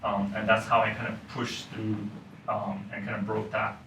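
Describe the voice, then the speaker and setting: A man's voice: lowish voice, monotone, normal speed, bright, forceful tone. A man from Hong Kong, a university lecturer, talking thoughtfully as a podcast guest with frequent fillers. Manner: thoughtful, many "um" and "you know" fillers. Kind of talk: casual podcast conversation. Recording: roomy, distant mic with faint noise.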